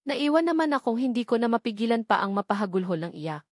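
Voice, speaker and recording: A woman's voice: fairly high pitch, big pitch swings, fast talker, darkish tone, no echo, clean studio sound. A woman with a Filipino accent narrating a romance novel as a dramatic scripted audiobook.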